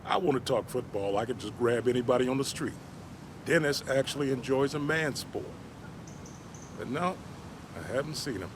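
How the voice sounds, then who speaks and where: Game role: male actor as wry, plainspoken old miner, folksy delivery, average pitch, noisy street behind him. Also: expressive, normal speed, long pauses, natural voice. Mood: weary, reflective. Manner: folksy, blunt, wryly self-deprecating. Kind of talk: video game dialogue acting.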